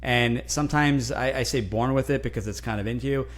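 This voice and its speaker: Animated man with lots of restarts. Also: mid-range voice, fairly quick. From New Jersey, USA. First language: Italian. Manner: animated, frequent restarts and repeated words. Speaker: guest, franchise consultant. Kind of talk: casual interview conversation.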